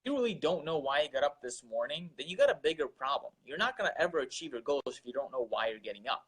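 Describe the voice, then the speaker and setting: A man's voice: fairly high pitch, animated, fast talker, fairly bright tone, breathy edge, low-quality recording. A young man from Russia, a guest entrepreneur, talking earnestly about his daily grind, repeating words and using many fillers. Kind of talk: casual interview talk.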